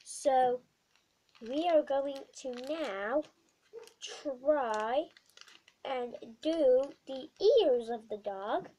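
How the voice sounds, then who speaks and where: A young girl with a high, croaky voice from a bad cold, speaking slowly and rambling as she chats through a craft tutorial. She records in a small, dim bedroom on a webcam mic, with faint noise in the background. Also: warm tone. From not American. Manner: chatty, rambling with many ums and uhs. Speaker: craft vlogger. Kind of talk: casual craft tutorial vlog.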